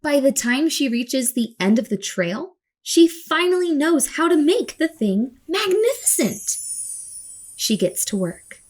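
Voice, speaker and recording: Animated woman reading a children's picture book. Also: high-pitched, a little slow. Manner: dramatic, acts out words with her voice. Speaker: children's storyteller. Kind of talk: expressive picture-book read-aloud.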